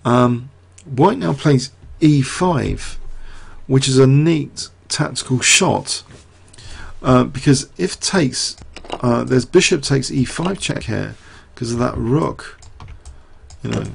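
A middle-aged man commentating live on a chess game, talking through the moves and quizzing his viewers, his voice getting croaky. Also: mid-range voice, lively intonation, slow.

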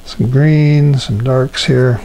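An older man demonstrating a watercolor painting as an instructor, talking himself through each step with frequent ums and pauses. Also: mid-range voice, a little hiss or hum, poor audio. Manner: relaxed, thinking aloud with many ums. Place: art studio at a painting table.

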